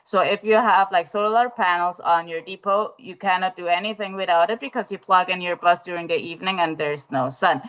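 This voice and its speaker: A woman's voice: fairly low pitch, fairly even intonation, fairly quick, few pauses, a bit bright. A woman presenting in a live webinar over a video call, talking with many fillers and restarts as she works through her answer.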